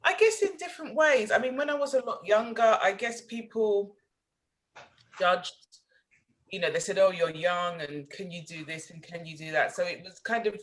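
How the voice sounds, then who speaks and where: A woman's voice: mid-range voice, normal speed, rough recording. A woman, speaking as a guest in a panel discussion at a live event and answering reflectively with frequent fillers. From England. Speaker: panel guest, former civic mayor.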